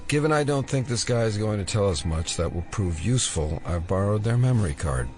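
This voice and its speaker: A man's voice: lowish voice, expressive, brisk, darkish tone, faint music behind, lightly acted. A man playing a wry, suspicious American federal agent in an audio drama, speaking in a dry, understated way.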